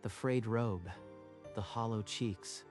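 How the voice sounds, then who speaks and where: A man's voice: on the low side, slow pace. A man reading scripted, dramatic story narration for a manga dub. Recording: music behind the voice.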